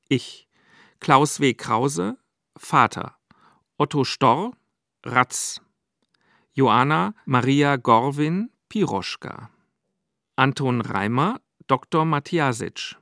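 A man making a formal, measured 1950s radio announcement, reading out credits. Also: mid-range voice, lively intonation, creaky, a bit bright, no room sound, clean studio sound. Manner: measured, list-like delivery.

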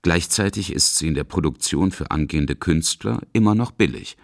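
Very deep-voiced male documentary narrator. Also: normal speed, vocal fry, dry recording, studio quality. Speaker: reporter and narrator. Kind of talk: scripted radio documentary narration.

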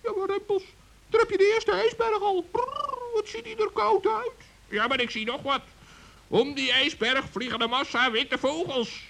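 Man as Paulus, a bright-voiced little forest gnome. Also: very high voice, fairly even intonation, normal speed, gravelly, voice pushed up, exaggerated voice. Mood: curious, plucky. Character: small plucky kindly forest gnome Paulus. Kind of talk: children's radio play.

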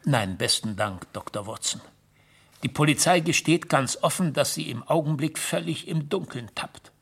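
Husky, higher-voiced distraught gentleman. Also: animated, normal speed, exaggerated voice. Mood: desperate, agitated. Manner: emphatic, agitated, formal. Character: distraught, formal older gentleman banker, father and uncle. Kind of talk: German radio play acting.

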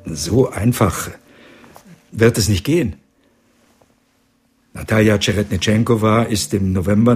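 An actor playing a proud, defensive older patriarch in a radio drama, indignant and stubborn. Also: male voice, mid-range voice, husky.

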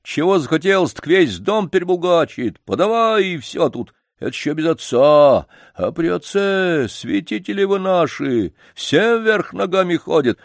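Man voicing a blustering yard-keeper, high strained shouting, insistent, storybook, dry sound. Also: sing-song, normal speed, gravelly, hardly any pauses, no room sound, full character voice. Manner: loud, pleading, insistent. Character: loud pushy male yard-keeper, coaxing, blustering. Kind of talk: audiobook character voice.

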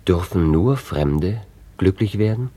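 An actor playing a gentle, pleading fiancé in a radio play, speaking softly and coaxingly. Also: male voice, deep voice, animated, takes it slowly, no echo, full character voice. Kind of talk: radio drama acting.

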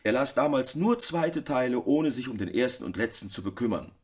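Casting: a teasing, sceptical gentleman of letters, mid-range husky voice, pointed wry delivery. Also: medium pitch, animated, a little fast. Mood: wry, musing. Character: sceptical male literary friend, teasing and demanding answers. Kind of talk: literary audio drama dialogue.

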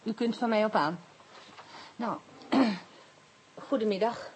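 A woman's voice: on the high side, slow pace, darkish tone, a little hiss or hum, rough recording. An actress playing a nervous, evasive young woman under questioning in a radio drama, hesitant, stammering and defensive. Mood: uneasy.

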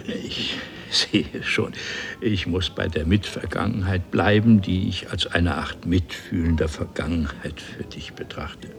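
An actor playing a haughty, eloquent nobleman from an ancient civilization, telling his story theatrically and deliberately in a 1970s German radio play. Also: deep voice, steady tone, creaky. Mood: lofty, explaining.

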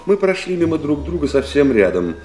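An actor playing a composed, probing doctor who assists the police in a radio play, politely insistent. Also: male voice, fairly high pitch, very animated, a little fast, warm tone. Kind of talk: Russian radio play acting. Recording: radio play with music underneath.

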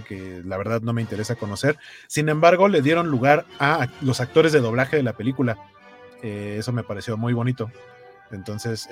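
Chatty Mexican man hosting over music. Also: medium pitch. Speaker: co-host of a gaming news show. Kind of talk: casual chat, reading viewer comments. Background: music behind the voice.

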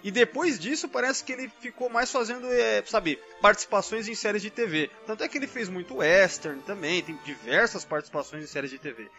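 A man's voice: sits high, fairly quick, hardly any pauses, sharp tone, highs cut off. A Brazilian man in a casual podcast discussion, rambling and constantly adding little tag questions. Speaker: podcast panelist. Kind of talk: casual chat, film and TV trivia discussion. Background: background music.

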